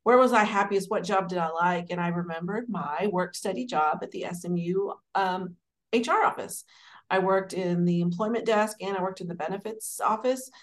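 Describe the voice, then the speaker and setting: A woman in her forties from Dallas, telling her career story as an interview guest in a warm, rambling, conversational way. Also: mid-range voice, moderate pace. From Dallas, Texas. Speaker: guest, city administrator.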